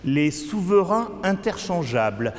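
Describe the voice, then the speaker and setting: Theatrical male poet in cavernous reverb. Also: sing-song. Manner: declamatory, swinging between low muttered phrases and high drawn-out cries. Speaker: poet performing own work. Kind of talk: theatrical avant-garde spoken-word poetry performance. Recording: long cavernous reverb with background noise.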